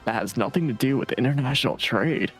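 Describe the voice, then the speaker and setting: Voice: medium pitch, animated, a little fast, soft background music, voice pushed down, natural voice. A performer voicing a stern, impatient goblin-like classroom character in a game read aloud. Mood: exasperated.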